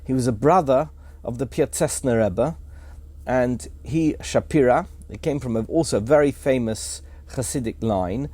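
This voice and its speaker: A man's voice: mid-range voice, normal speed, a little mellow, husky, no room sound. A man appearing as a guest on a podcast, explaining history fluently in a lecturing way with some fillers. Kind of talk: casual explanatory podcast talk.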